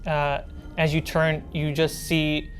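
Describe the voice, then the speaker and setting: A woman's voice: low voice, monotone, slow pace, soft background music. A woman running a tabletop game as game master, describing scenes in a casual, improvised way.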